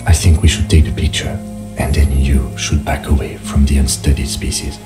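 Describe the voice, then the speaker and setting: A man playing a calm, restrained police lieutenant in a video game, quiet and matter-of-fact. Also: a bass voice, moderate pace, loud music behind the voice. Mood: cautious, hushed. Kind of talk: video game dialogue acting.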